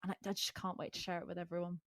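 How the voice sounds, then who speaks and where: A woman's voice: on the low side, flat delivery, rapid-fire, a bit bright, no echo. Enthusiastic woman in casual chat, dry sound. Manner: enthusiastic, gushing. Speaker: audio drama voice actor. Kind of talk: casual behind-the-scenes chat.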